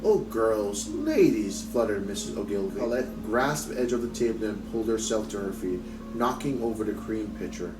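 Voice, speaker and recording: A man with a low voice reads a story aloud expressively. He sounds set back from the microphone in an echoey space, with guitar music playing behind him. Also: steady tone, fairly quick, distant mic. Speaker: teacher reading aloud for a book club. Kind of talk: expressive audiobook-style read-aloud. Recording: roomy echo, off mic, music behind.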